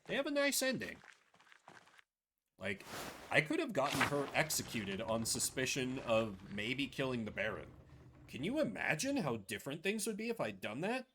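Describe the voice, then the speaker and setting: A man's voice: mid-range voice, bright, forceful tone, a little hiss or hum. A man doing casual game commentary as a streamer, chatty and thinking aloud.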